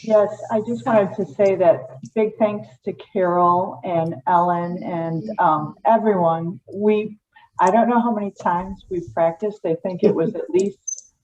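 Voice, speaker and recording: Low-voiced woman on a muffled video call. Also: steady tone, unhurried, darkish tone. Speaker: member of an amateur play company. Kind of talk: casual recollection on a video call. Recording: dull, noisy video call audio.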